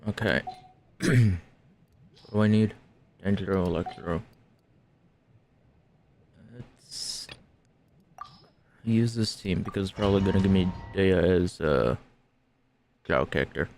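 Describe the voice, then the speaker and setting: A man's voice: low voice, slow pace, vocal fry, long pauses, darkish tone, slight background noise. A man giving casual gameplay commentary as he streams. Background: faint game music.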